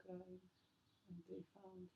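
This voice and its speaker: Creaky-voiced woman chatting casually. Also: lowish voice, monotone, unhurried, warm tone, muffled recording, slight background noise. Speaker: listener commenting on the poems. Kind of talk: casual chat.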